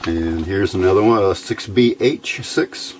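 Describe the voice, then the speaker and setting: Man, lowish voice, informal tutorial host, plain-spoken with halting pauses, background noise, workbench camera recording. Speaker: host of a hands-on electronics tutorial. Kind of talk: informal unscripted explanation. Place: indoors at a workbench.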